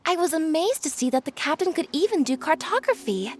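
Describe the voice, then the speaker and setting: Courteous, high-voiced young sailor. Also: female voice, sing-song, fairly quick, a little hiss or hum. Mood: earnest admiration. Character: female sailor, courteous, admiring and loyal to her captain. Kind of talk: scripted video game dialogue. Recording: music behind the voice.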